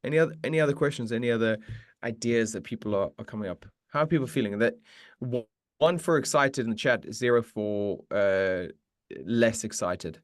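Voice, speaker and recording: A man's voice: medium pitch, expressive, brisk, no echo, clean studio sound. A man hosting a live Q and A for meditation teachers, chatty and encouraging, often restarting his sentences. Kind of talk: casual live-stream chat answering questions.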